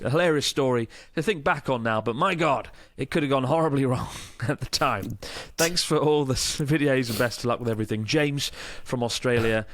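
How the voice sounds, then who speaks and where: A man's voice: mid-range voice, a bit bright, no echo. A man in his thirties with a Northern English accent, co-hosting a casual podcast, chatting and reading listener mail aloud with laughter. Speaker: podcast co-host.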